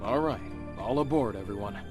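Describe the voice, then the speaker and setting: An actor playing a calm, reassuring guide and mission planner in a video game, measured, steady and practical. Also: male voice, lowish voice, over music, close to an everyday voice.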